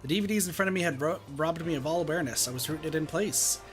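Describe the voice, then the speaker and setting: A man's voice: fairly high pitch, quick pace, over music, lightly acted. A man playing an anxious, earnest teenage schoolboy, dramatically narrating a visual novel. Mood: uneasy. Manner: dramatic, intense. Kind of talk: reading visual novel aloud in character.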